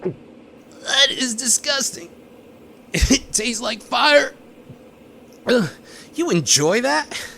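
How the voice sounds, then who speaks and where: An actor playing a dim, sweet, hapless ex tagging along on a space mission in an audio drama, earnest and guileless. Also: male voice, very high voice, lively intonation, drawn-out words, gravelly, pauses often, bright, forceful tone, exaggerated voice. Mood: disgusted. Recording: noisy background ambience.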